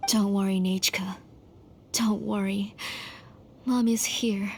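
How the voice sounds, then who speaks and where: A woman's voice: mid-range voice, steady tone, slow pace, slightly rough. A woman voicing a grieving, obsessive mother mage in a dramatic video game, tender yet desperate and menacing. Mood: pleading, tender. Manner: tender murmurs turning to desperate, menacing resolve. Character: grieving, obsessive mother mage bent on reviving her daughter. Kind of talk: dramatic English game voice acting.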